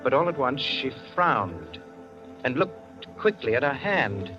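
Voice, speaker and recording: A man narrating a scripted 1940s radio drama in a measured, literary, dramatic manner. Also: mid-range voice, very animated. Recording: muffled 1940s radio recording with music.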